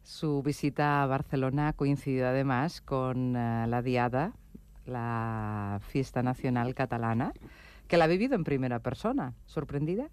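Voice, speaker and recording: A woman's voice: a bass voice, darkish tone, clean tone, no room sound. Composed female interviewer. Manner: measured, composed presenter delivery. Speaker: radio interviewer. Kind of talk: scripted presenter questions.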